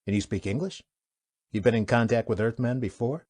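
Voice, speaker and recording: A man's voice: medium pitch, fairly quick, darkish tone, voice pushed up, lightly acted. Man playing a blunt, commanding ship captain. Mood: brusque. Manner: brusque, commanding. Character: gruff, blunt male spaceship captain.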